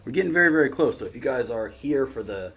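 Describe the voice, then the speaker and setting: A man's voice: medium pitch, expressive, fast, fairly bright tone. A man hosting a YouTube reaction channel, talking casually in an informal, rambling, slangy way.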